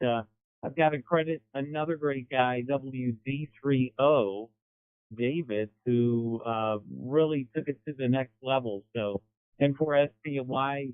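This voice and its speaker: A man's voice: medium pitch, smooth, no room sound. A middle-aged American man chatting casually as a caller over an amateur radio link. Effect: amateur radio transmission. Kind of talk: casual radio check-in chat. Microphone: two-way radio. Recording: narrow phone-like radio transmission.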